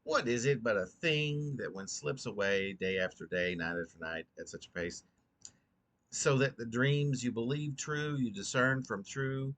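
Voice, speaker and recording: A man reading his own poem aloud in an earnest, rhetorical, dramatic recitation with a halting delivery. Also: mid-range voice, animated, fairly bright tone.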